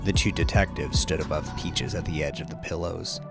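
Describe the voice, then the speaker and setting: A man's voice: low-pitched, steady tone, moderate pace, vocal fry. A man narrating scripted crime fiction as an audiobook narrator, in a dramatic storytelling style. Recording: prominent music behind the narration.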